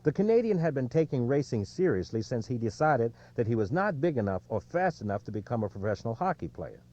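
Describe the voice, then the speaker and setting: A man reading an audiobook in a measured, clearly scripted storytelling delivery, recorded with slightly lo-fi sound. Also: mid-range voice, big pitch swings, mellow. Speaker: audiobook narrator.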